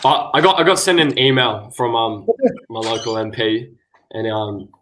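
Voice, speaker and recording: A man's voice: mid-range voice, lively intonation, moderate pace, pushed and bright, a little hiss or hum. A man hosting a casual podcast, answering hesitantly and unsure of himself. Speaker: podcast host. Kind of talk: casual chat.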